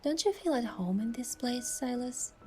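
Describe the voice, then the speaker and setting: Actress as playful young romance heroine, music behind. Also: female voice, on the high side, expressive, moderate pace, warm tone, lightly acted. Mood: tender. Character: playful, affectionate young heroine narrating her own romance. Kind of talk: romance game narration and dialogue.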